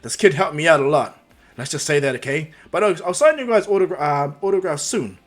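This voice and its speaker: A man voicing a big, exuberant, warm-hearted male superhero in a fan story, hearty and easily flustered. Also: on the high side, animated, normal speed, slightly rough. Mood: hearty, loud. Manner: loud, hearty, stammers when flustered.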